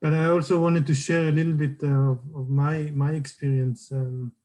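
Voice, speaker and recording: A man's voice: a little high, level tone, normal speed, dark tone. A man with an Israeli accent sharing his personal story on a panel, hesitant and searching for words.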